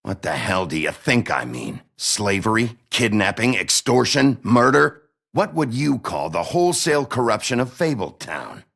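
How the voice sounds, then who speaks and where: An actor playing a gruff, blunt, hard-boiled sheriff in a dramatic video game, with a gravelly, husky voice. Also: male voice, lowish voice, sing-song, normal speed, a bit bright, full character voice. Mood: angry. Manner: blunt, confrontational.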